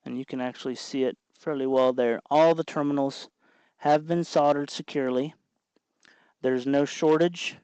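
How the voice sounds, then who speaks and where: A man with a medium-pitched voice talks through a hands-on task as a tutorial host. His delivery is informal and instructive, as if half talking to himself while he works. The sound is dry, with very little room echo. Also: fairly even intonation, normal speed, darkish tone, clean tone. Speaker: host of a DIY electronics tutorial.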